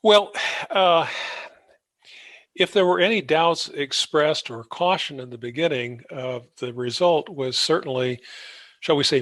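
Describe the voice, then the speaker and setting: A man hosting an interview in a conversational, measured and gently wry way. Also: fairly high pitch, no room sound. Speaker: podcast host, interviewer.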